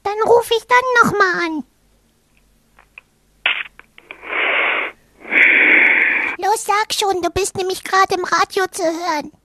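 Tiny, squeaky-high cheeky little boy. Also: normal speed, heavy background noise. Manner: innocent, deadpan, childlike. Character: cheeky five-year-old boy making prank phone calls. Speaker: prank caller. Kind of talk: comedy radio prank calls.

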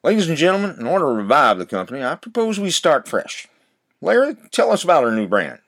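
A man's voice: on the high side, big pitch swings, fast, a bit bright, full character voice. A man playing a smug, ruthless corporate boss with a pompous, sardonic manner in a campy audio drama.

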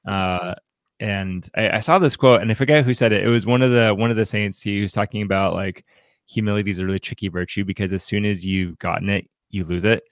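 Man, lower-pitched, dry sound, casual book discussion, rambling, halting delivery, podcast co-host. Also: dry recording. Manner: rambling, halting, searching for words; acts out imagined quotes in conversation.

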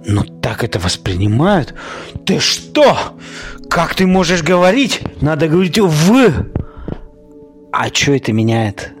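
A man hosting a podcast, talking in an informal, opinionated monologue with rhetorical questions and halting, colloquial phrasing that repeats words. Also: on the high side, big pitch swings, soft background music. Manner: argumentative, poses rhetorical questions. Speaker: podcast host.

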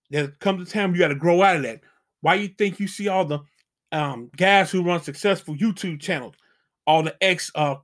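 A man's voice: high voice, a bit bright. A middle-aged man hosting a channel, giving casual dating advice as an emphatic, preachy warning full of slang.

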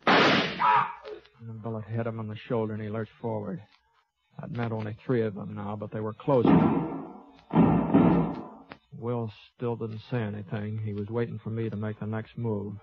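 A man's voice: fairly low pitch, monotone, normal speed, pauses often, lightly acted. Man playing folksy Old West gunfighter, dull old radio. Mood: tense, measured. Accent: American. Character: laconic, folksy, fair-minded Old West gunfighter narrating his tale. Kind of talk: 1950s radio western acting, first-person narration. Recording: dull lo-fi vintage 1950s radio recording.

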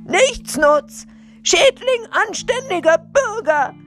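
Casting: woman as irate storybook police captain, a man of pompous bluster, pitched high and loud. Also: very animated, slow pace, faint music behind, exaggerated voice. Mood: furious, threatening. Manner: indignant, shouting, self-important. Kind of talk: children's audiobook character reading.

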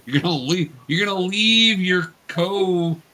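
A man's voice: high-pitched, unhurried, pushed and bright, low-quality recording. A man running a tabletop game as game master, coaching young players in a casual, patient way with hesitant explanations.

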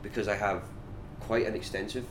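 A man's voice: lowish voice, a little fast. A man with a Scottish accent, chatting casually and animatedly as a whisky brand ambassador in an interview. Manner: chatty and animated, many "like" fillers. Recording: noisy background.

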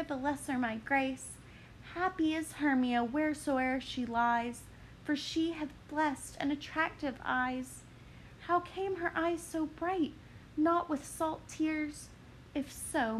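A woman's voice: high voice, slight background noise, low-quality recording. A woman voicing a desperate, lovesick young woman, pleading urgently in dramatic verse.

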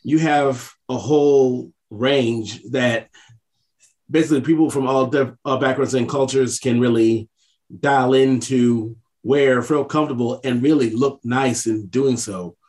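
A man co-hosting a casual interview, phrasing his questions in a deliberate, halting way. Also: medium pitch, fairly even intonation, darkish tone. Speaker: interviewer, co-host.

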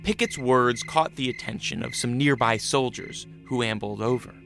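An actor playing a grave, brooding vampire who also narrates a radio drama in a measured way. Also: male voice, medium pitch, gravelly, a bit bright, faint music behind. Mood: solemn.

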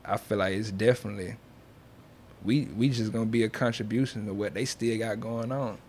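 A man's voice: lowish voice, fairly even intonation, fairly quick, a little mellow, slight background noise. A young man talking as a music artist being interviewed, in a loose, slangy, casual way. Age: young adult. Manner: slangy, loose, peppered with check-in phrases.

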